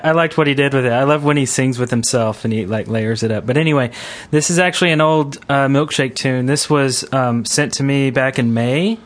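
A man hosts a podcast in a relaxed, conversational style. His mid-range voice comes out haltingly, feeling its way through each thought. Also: medium pitch. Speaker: podcast host. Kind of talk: casual panel chat.

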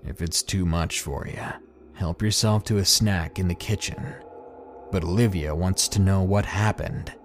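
A man voicing a curt, bitter old great-uncle who speaks slowly with a raspy edge. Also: deep voice, steady tone, slight background noise. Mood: grim. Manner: slow, gravelly, curt. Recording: soft music behind.

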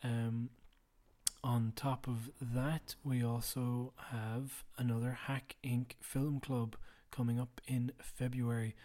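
A tired man hosting a podcast late at night, speaking low and quiet in a rambling, self-deprecating way. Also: flat delivery, takes it slowly. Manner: rambling, self-deprecating, drops to a mutter at times. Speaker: podcast host. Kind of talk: casual solo housekeeping chat.

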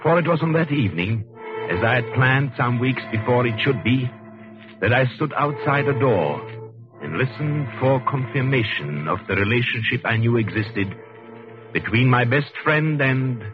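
An actor playing a coldly pompous British genius and betrayed husband, narrating formally and precisely in a 1940s radio drama. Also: male voice, medium pitch, lively intonation, normal speed, natural voice. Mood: cold, deliberate. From Britain. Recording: dull 1940s radio recording, music behind.

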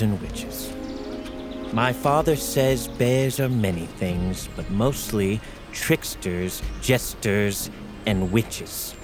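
An actor playing a sneering young noble heir in a fantasy audio drama, pompous and contemptuous, drawing out his words for emphasis. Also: fairly low pitch. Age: young adult. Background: music behind the voice.

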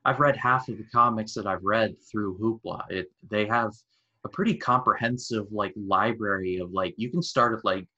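Young man, podcast co-host, rambling and jokey, low bright voice, dull lo-fi sound. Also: normal speed. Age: 20s. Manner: rambling, jokey, self-deprecating puns. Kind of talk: casual chat.

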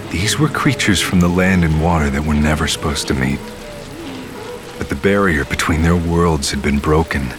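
A grave storyteller in a dark audio drama. His voice is extremely low, measured and portentous, with music clearly playing underneath. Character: grave, ominous storyteller narrating a dark omen. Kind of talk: scripted audio drama narration.

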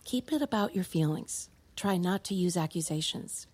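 Audio drama: measured, reassuring female therapist, low mellow husky voice, neutral and gently guiding. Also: lively intonation, no echo. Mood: probing, neutral. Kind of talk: naturalistic audio drama acting.

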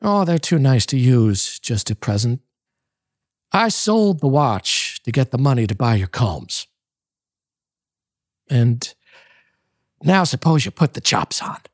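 A man voicing a tender, easygoing young husband in a storybook reading, with a husky voice. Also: mid-range voice, big pitch swings, takes it slowly, pauses often, dry recording, studio quality. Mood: gently resigned.

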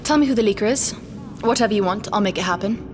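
A woman's voice: mid-range voice, fast talker, music in the background, lightly acted. A woman playing a defensive, pleading neuroscientist in a sci-fi audio drama, urgent and exasperated. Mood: bargaining. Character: defensive, pleading female neuroscientist, anxious but defiant.

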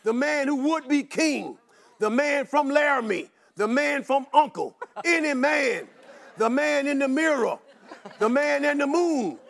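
Preacher guy with a real high gravelly voice, slow chanting lists, shouts at the peaks. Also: animated. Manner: repeats one call in long lists. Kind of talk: sermon.

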